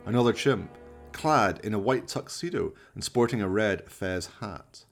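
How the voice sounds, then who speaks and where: A man's voice: fairly low pitch, expressive, measured pace, gravelly, faint music behind. A man narrating a scripted radio play in a dramatic storytelling manner.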